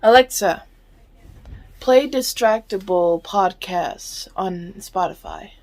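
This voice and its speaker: A woman's voice: medium pitch, slow pace, a little mellow, poor audio. A young woman YouTuber chatting casually to her viewers, giggly and talkative, answering back to what she hears. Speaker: YouTuber reacting to a podcast. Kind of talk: casual chat to camera.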